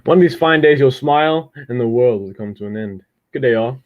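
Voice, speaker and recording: Man acting a fast-talking, boastful village postman. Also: medium pitch, narrow, tinny audio, noisy background, natural voice. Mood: teasing, mocking. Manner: garrulous, folksy, boastful. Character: chatty, self-important village postman and bell ringer. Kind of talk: radio drama acting.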